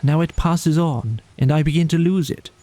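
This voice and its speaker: A man's voice: fairly high pitch, moderate pace, dark tone, dry recording, voice pushed up, natural voice. A man voicing a water rat in a bedtime storybook, rapt and joyous, with breathless, lyrical exclamations. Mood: entranced, yearning.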